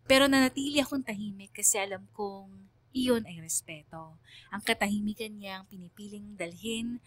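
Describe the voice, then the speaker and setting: A woman playing a reflective, empathetic student who narrates a radio drama in a measured, solemn way. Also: medium pitch, a bit bright, natural voice. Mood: sombre, moved. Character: reflective student narrator, quietly empathetic toward her teacher. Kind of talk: scripted first-person radio drama narration.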